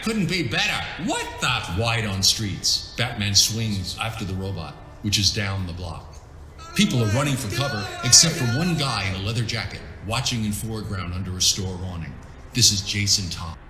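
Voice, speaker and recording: A man's voice: lowish voice, echoey room. A man reading scripted scene descriptions as the narrator of a comic book adaptation.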